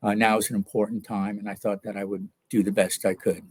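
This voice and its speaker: A low-voiced older man speaks slowly and thoughtfully, pausing often as he searches for words. He is from New York, an author being interviewed, and the sound is dry and close. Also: little pitch movement, breathy edge, no room sound. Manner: reflective, hesitant, many ums and uhs. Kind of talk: interview answers.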